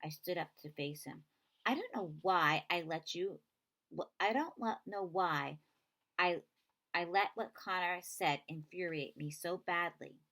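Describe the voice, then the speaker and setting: Lower-voiced woman reading a story aloud. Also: measured pace, fairly bright tone. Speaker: reader. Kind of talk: reading a children's novel aloud.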